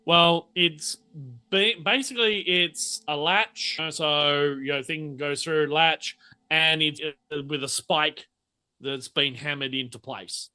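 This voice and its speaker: Bright-voiced man as game master. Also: on the high side, fairly even intonation, clear, resonant tone, no echo. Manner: halting, conversational scene-setting. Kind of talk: improvised tabletop game narration.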